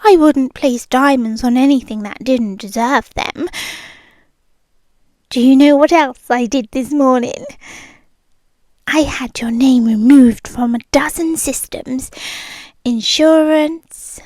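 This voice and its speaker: A young British woman voicing a calm, controlling rich girlfriend in an ASMR roleplay, speaking slowly and softly and often whispering close to the mic. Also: high voice, no echo. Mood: possessive. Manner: slow, soft-spoken, often whispering close.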